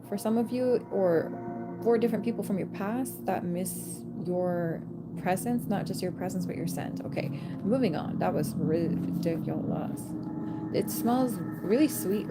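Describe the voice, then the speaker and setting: A woman's voice: on the low side, heavy background noise. A woman giving a chatty intuitive reading, breaking into laughing asides and stopping herself mid-thought. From American. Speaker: tarot reader. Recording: loud background music.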